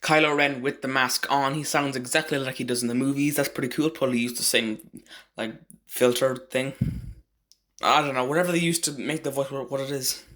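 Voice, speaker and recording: Male commentary host, mid-range voice, informal and opinionated, with a halting, rambling delivery. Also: medium pitch, fairly even intonation, fairly bright tone. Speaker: video host ranking game voice actors. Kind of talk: casual, loosely scripted ranking commentary.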